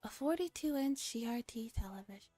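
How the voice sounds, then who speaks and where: A woman streamer with a soft, breathy, often whispering voice, reading a story and chatting casually in a relaxed ASMR style. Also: a little high, a little fast, dry recording, faint music behind. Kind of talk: ASMR visual novel read-aloud with casual chat.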